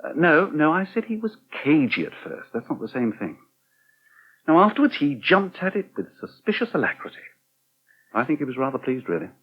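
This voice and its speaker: A wry English newspaper editor of middle years in an old British radio soap. The voice is slightly rough and rises and falls animatedly, with a dry, teasing manner. The recording is narrow and boxy. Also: male voice, fairly high pitch, very animated, brisk. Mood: dry, knowing. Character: wry, articulate male newspaper editor and husband. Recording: thin, band-limited old radio recording.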